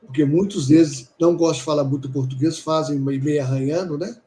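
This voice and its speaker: A man's voice: a little high, fairly even intonation, brisk, dark tone. A man hosting a casual interview, prompting his guest with short friendly asides. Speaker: interview host.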